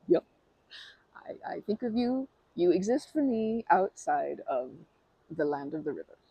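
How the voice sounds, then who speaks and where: A woman's voice: lowish voice, sing-song, unhurried, slightly rough, poor audio. A woman in an ASMR roleplay who talks to the listener in a rambling, bashful and playful way, full of fillers and restarts. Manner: playful and earnest, laughing softly.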